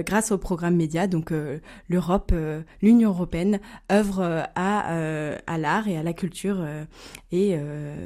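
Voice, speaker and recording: A woman's voice: fairly low pitch, mellow. A German-speaking woman co-hosting a radio segment, talking with many hesitations and fillers. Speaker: radio co-host. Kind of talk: casual radio segment intro.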